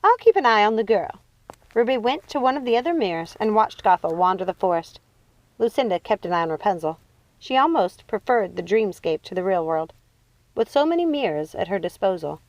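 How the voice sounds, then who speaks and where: A woman reading a storybook aloud as expressive scripted narration. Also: mid-range voice, a little fast, dry recording, highs cut off. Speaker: audiobook reader.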